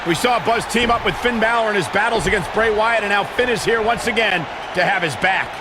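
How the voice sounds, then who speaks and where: A man playing an excitable play-by-play wrestling announcer in a video game, over noise. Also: sits high, fairly quick, close to an everyday voice. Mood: excited. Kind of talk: excited sports commentary.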